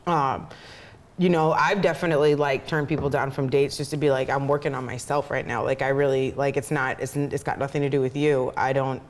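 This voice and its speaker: A woman's voice: rumbling low, brisk, slight background noise. A woman chatting casually as a podcast co-host, candid and sweary, telling stories animatedly and acting out what people said. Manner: candid, profane, animated storytelling with acted-out quotes. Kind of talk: casual chat with a friend.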